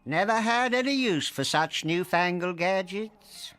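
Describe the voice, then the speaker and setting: Deadpan folksy farmer, unhurried, highish voice. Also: male voice. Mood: morbid deadpan. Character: gruff, morbid, stingy male farmer, folksy and deadpan. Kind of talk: animated cartoon acting. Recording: music behind the voice.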